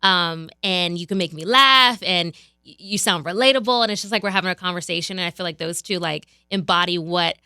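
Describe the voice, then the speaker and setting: Young woman from Maryland, radio host, relaxed chatty guest, bright tone, dry close studio sound. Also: on the low side, a little fast, smooth, no echo. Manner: relaxed and chatty, many fillers and restarts. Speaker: radio personality, podcast guest. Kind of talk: casual chat.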